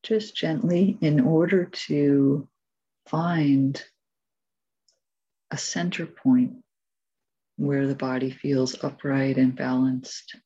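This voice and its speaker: A woman leading a guided meditation as its teacher, giving gentle, measured instructions with long pauses. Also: rumbling low, steady tone, slightly rough, highs cut off.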